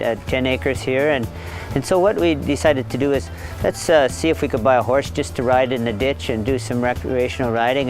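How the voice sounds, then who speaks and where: Hesitant, plain-spoken man with music behind. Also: medium pitch. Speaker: horse farm owner and breeder. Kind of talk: casual documentary interview.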